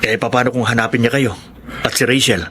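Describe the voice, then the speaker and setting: A man's voice: mid-range voice, flat delivery, a little fast, bright, forceful tone, lightly acted. A man playing a middle-aged godfather and loyal, gossipy friend in a Filipino radio drama. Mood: earnest.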